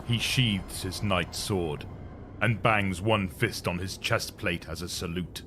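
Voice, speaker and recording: A man with a low voice narrates a dramatic war tale in the first person, as a grim and commanding armored soldier. Clear ambient noise sits behind the scripted reading. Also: takes it slowly. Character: grim, commanding armored warrior recounting a battle. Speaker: narrator of an audio story.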